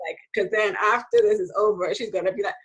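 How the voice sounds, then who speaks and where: A woman hosting a casual faith conversation. She talks in a lively way, laughs often, and jumps into high voices when quoting people. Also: quick pace, rough recording. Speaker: host.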